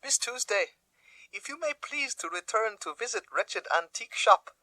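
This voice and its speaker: An actor playing a humble, wily shopkeeper and informant in a radio drama, with stilted, overpolite, self-deprecating broken English. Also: male voice, high-pitched, animated, normal speed, pushed and bright, dry recording, heavily acted, low-quality recording. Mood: humble, cryptic. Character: humble, wily male antique-shop proprietor and informant. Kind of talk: radio drama character dialogue.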